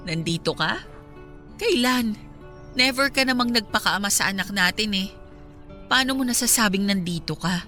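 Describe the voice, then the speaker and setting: A woman's voice: mid-range voice, lively intonation, measured pace, long pauses, bright, forceful tone, soft background music. A woman with a Filipino accent playing a hurt, resolute young mother in a radio drama, firm and reproachful.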